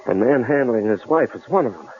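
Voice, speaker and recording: An actor playing a tense, protective husband, earnest and resolute, in an old-time radio drama. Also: male voice, mid-range voice, expressive, fairly quick, close to an everyday voice. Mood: resolute, reassuring. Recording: noisy, lo-fi phone-like radio recording.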